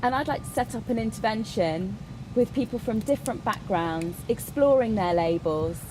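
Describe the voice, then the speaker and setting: Woman interpreting over clear background noise. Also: a little high, expressive, moderate pace. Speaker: interpreter voicing a Deaf signer. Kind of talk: live spoken interpretation of a personal statement.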